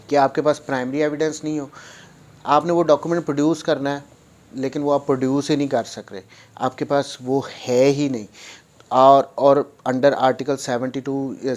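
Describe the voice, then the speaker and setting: A male lecturer with a Pakistani accent and a mid-pitched voice. He explains in a didactic way, repeating key points with emphasis and moving between his own language and English technical terms. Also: a little mellow. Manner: didactic, emphatic repetition, reading clauses out deliberately. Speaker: law lecturer.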